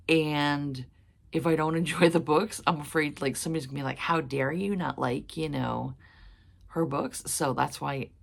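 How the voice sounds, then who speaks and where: A woman in her thirties, hoarse from a cold, chatting casually about books with many fillers. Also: sits low. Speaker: book vlogger. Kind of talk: casual chat.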